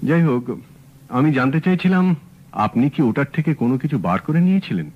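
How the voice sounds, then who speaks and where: An actor playing a sharp young male private detective in a radio drama, asking brisk, probing questions. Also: fairly high pitch, lively intonation. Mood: calm, probing. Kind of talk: 1970s radio drama acting. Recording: dull old radio broadcast.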